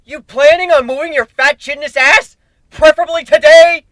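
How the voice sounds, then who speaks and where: Man voicing a fierce, high-pitched griffin warrior woman. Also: steady tone, moderate pace, no echo. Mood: impatient, commanding. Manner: foul-mouthed, urgent shouting. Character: fierce brash female griffin warrior barking orders.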